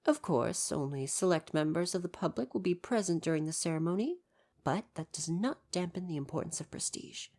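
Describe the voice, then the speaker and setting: A man's voice: a little high, animated, a little fast, a little mellow. A man playing a devoted, proper royal butler in an ASMR roleplay, speaking to the listener in a formal, deferential, fussily attentive way. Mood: dutiful, fussy.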